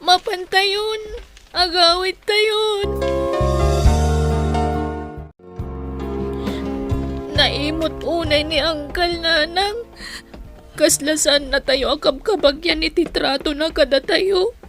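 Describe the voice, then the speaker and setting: An actress playing an earnest, pleading young daughter in a radio drama, with an Ilocano accent. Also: female voice, very high voice, slow, over loud music. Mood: hurt.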